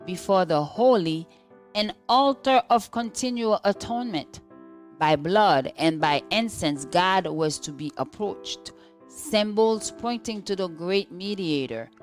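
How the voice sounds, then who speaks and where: A woman with a slightly low voice reads a devotional text slowly. She sounds solemn and measured, with soft music faintly behind her. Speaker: devotional reader. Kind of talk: scripted devotional reading.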